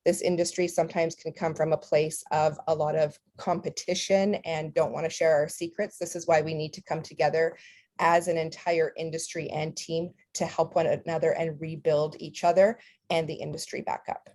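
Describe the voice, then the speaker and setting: Earnest woman, mellow low voice. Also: little pitch movement, normal speed. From Canada. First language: Ukrainian. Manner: heartfelt, earnest, fillers. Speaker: skincare company founder. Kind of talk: personal talk at an online orientation.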